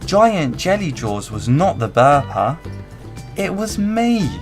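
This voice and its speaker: Man putting on a higher-voiced little cabin boy. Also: big pitch swings, takes it slowly, faint music behind. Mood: earnest confession. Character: small earnest cabin boy.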